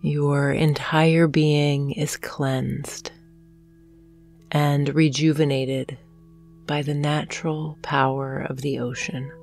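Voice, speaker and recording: A woman narrating a guided hypnosis meditation in a soothing, gentle manner, leaving long pauses between phrases. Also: low voice, flat delivery. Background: soft meditation music with singing bowls.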